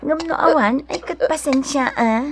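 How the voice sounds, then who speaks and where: A woman's voice: sits high, moderate pace, a little hiss or hum. A woman playing a kind, generous village wife with an Ilocano accent in a radio drama, speaking with earnest care. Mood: caring, urging. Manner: earnest, caring. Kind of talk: Filipino radio drama acting. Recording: radio drama with music underneath.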